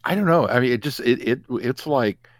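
A man's voice: medium pitch, lively intonation, fairly quick, no room sound, clean studio sound. A man chatting casually on a podcast panel with a wry, incredulous, emphatic way of talking. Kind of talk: casual chat.

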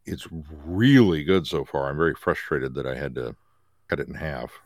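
A man co-hosting a podcast, chatting casually about films in a halting, wry manner. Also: rumbling low, no room sound, clean studio sound. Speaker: podcast host. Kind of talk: casual chat, joking film talk.

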